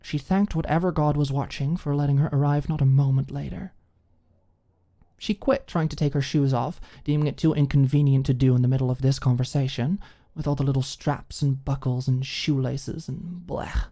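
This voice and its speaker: A woman's voice: rumbling low, steady tone, normal speed, mellow. A woman narrating a scripted story in the style of an ASMR audiobook.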